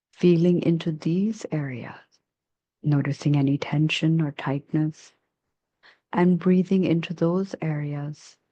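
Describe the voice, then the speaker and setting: A woman leading a guided meditation in a soft, soothing, measured way with long pauses between phrases. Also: low voice, dry recording, dull audio.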